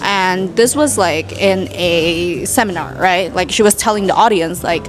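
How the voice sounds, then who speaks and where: A young woman in her twenties from Indonesia, chatting casually in a Q&A vlog, full of "like"s and asides. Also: lowish voice. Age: 20s. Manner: chatty, many "like"s, quick asides. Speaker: vlogger. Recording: music playing in the background.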